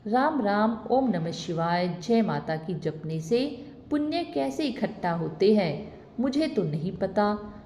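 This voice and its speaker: A woman's voice: medium pitch, animated, slow, mellow, a little hiss or hum. A woman voicing a stern divine voice from the sky that scolds and questions. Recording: echoey reverberant room.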